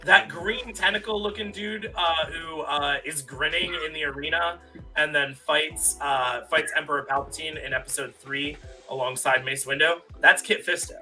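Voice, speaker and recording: A man's voice: a little high, moderate pace, pushed and bright, soft background music, low-quality recording. A man hosting a casual trivia game on a live stream, talking in a wordy, pedantic way with asides. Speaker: quiz host on a podcast live stream.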